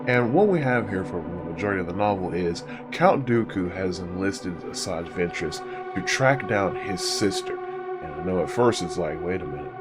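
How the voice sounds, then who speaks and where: Man, deep-pitched voice, informal review host, chatty rambling delivery, clear music bed underneath. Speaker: host of an audiobook review series. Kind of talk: casual review monologue. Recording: music behind the voice.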